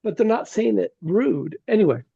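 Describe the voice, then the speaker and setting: A man's voice: high-pitched, animated, moderate pace, creaky, dark tone, breathy edge, no room sound. A man from California sharing stories in a casual group discussion, rambling through anecdotes. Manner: rambling, anecdotal, many restarts. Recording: dull, dry lo-fi sound.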